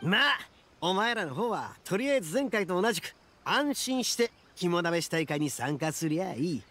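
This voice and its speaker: Young oni gang boss, brash, cocky, animated high-pitched male voice, anime game. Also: normal speed. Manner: cocky, rough, swaggering.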